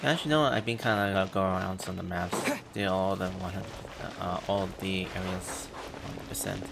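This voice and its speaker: A man's voice: sits low, fairly even intonation, moderate pace, vocal fry, heavy background noise. A man giving casual live walkthrough commentary as a game streamer, hesitantly thinking aloud as he goes. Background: game music.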